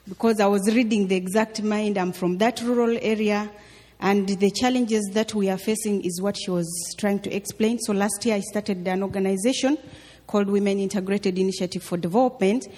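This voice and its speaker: A woman's voice: mid-range voice, mellow. Brief: Ugandan woman, audience member putting a question at a talk, earnest and explanatory, echoing hall sound. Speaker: audience member, visiting scholar. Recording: echoey hall with long reverb.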